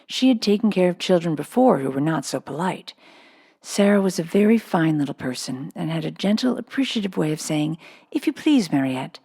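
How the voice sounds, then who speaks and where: A woman's voice: on the low side, animated, fairly quick, creaky, warm tone, slightly rough. A woman reading a children's storybook aloud as scripted narration. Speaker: audiobook reader.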